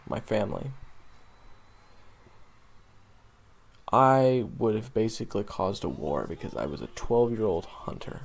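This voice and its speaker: A man playing a young monster-hunting swordsman in an audio roleplay, talking casually and haltingly, wry and wounded, with self-deprecating asides. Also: lowish voice, gravelly, a little mellow, heavily acted. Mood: somber, reflective. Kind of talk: conversational audio roleplay monologue.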